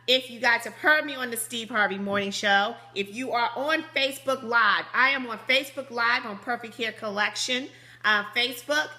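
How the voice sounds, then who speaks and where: A woman in her thirties with a high, slightly squeaky voice, hosting a business conference call in an upbeat, chatty way over a phone line. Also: bright, forceful tone. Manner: bubbly, laughs often, chatty. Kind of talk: upbeat motivational call talk.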